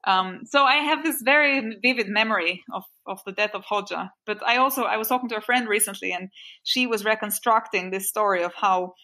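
Woman, 40s, from Albania, bright tone, reflective, interview guest, small restarts. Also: medium pitch, a little fast. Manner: thoughtful, recalls memories with small restarts.

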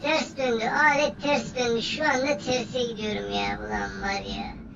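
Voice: mid-range voice, moderate pace, creaky, far from the mic, lo-fi sound, noisy background. A gaming host giving casual, playful commentary in slangy banter, often repeating phrases over and over. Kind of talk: casual gameplay commentary, playful role-play.

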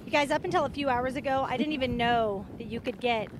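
A woman's voice: on the high side, fast, background noise. An American woman in her thirties, a chatty TV field reporter doing a live morning interview outdoors on a handheld microphone. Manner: chatty, conversational. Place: outdoors in a dry hillside yard.

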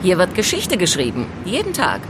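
A woman's voice: fairly low pitch, wildly swooping pitch, normal speed, background noise. A woman playing an elegant, composed young informant in an audio drama, explaining things in a teasing, didactic way. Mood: explaining, confident. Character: elegant, self-assured young woman, calm knowing informant. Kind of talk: German audio drama acting.

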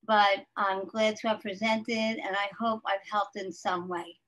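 A woman, hoarse from laryngitis, closing a webinar presentation over a video call. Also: medium pitch, flat delivery, unhurried, fairly bright tone, rough recording. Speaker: guest speaker.

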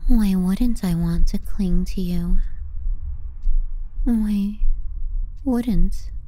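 Casting: female performer for manipulative younger sister, young adult, possessive and mocking; very dark, velvety, breathy murmur for ASMR roleplay. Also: mid-range voice. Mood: clingy adoration. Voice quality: soft, breathy. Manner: slow, breathy murmuring that slips into whispers. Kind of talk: intimate ASMR roleplay acting.

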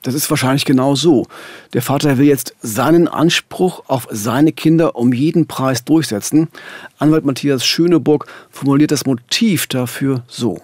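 A middle-aged man co-hosts a true-crime podcast, explaining the case in a narrating style. His pitch is medium and the studio sound is very dry. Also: moderate pace, darkish tone, no room sound. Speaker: podcast host. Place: dark radio studio. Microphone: studio microphone with headphones.

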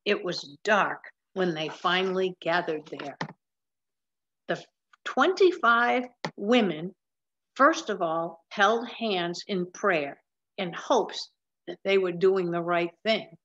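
A woman in her seventies reading a picture book aloud in a deliberate way, with emphatic pauses. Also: lowish voice, fairly bright tone, muffled recording, a little hiss or hum. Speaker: storybook reader. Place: large room at home with wooden ceiling, indoors.

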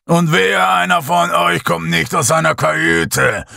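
A man's voice: a little high, steady tone, unhurried, vocal fry, sharp tone, no room sound, studio quality, voice pushed up, full character voice. A man voicing a domineering bearded ship captain who bellows threats at his crew. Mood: bullying, boisterous.

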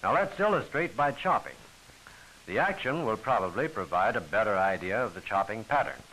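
A man's voice: on the low side, sing-song, moderate pace, sharp tone, a little hiss or hum, low-quality recording. A man giving formal, instructional scripted narration for a training film, on an old film soundtrack.

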